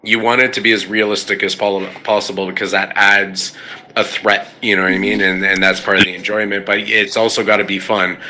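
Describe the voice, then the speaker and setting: A man's voice: fairly low pitch, little pitch movement, a little fast, sharp tone, rough recording. A man hosting a casual chat, talking informally and often checking for agreement. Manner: informal, seeks agreement with frequent "right?".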